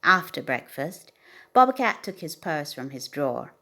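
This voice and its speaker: A woman reading a children's bedtime story aloud as an expressive narrator. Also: low-pitched, a little slow. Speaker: storybook reader.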